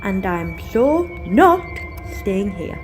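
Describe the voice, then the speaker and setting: A woman's voice: medium pitch, very animated, slow pace, faint music behind. A woman playing a caring young space traveler in a fan audio drama. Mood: worried.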